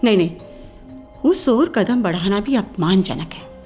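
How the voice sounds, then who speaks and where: A woman's voice: medium pitch, animated, measured pace, darkish tone, soft background music, natural voice. An actress playing a proud, hesitant young woman torn by secret longing in a theatrical Hindi radio play. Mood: proud, agitated. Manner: theatrical, swinging between urging and refusing herself.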